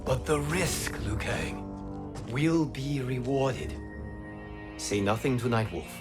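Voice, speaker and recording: A man playing a calm, noble god in a video game, earnest and solemn, with formal, reassuring speech. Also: medium pitch, slow pace, over music. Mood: solemn, resolute. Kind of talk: video game cutscene acting.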